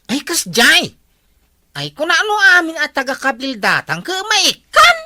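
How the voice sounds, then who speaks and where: A woman with an Ilocano accent playing a nosy, excitable, complaining villager in a radio comedy drama. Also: high-pitched, a little slow, no room sound, studio quality, exaggerated voice. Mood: excitable, curious.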